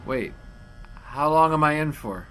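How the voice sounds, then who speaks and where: An actor playing a brash, wordy, wisecracking 1920s sportswriter in an old-time radio drama. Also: male voice, on the high side, animated, measured pace, bright, forceful tone, a little hiss or hum. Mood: indignant, cocky.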